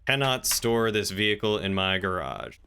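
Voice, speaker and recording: A man streaming a roleplay game, chatting casually and talking in character in a wry, measured way. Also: on the low side, very animated, creaky, sharp tone, slight background noise. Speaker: game streamer playing a crime boss.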